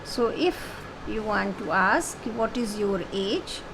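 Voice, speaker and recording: A woman teaching a French lesson online, explaining slowly with many fillers and repeated phrases. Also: mid-range voice, lively intonation, noisy background. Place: home.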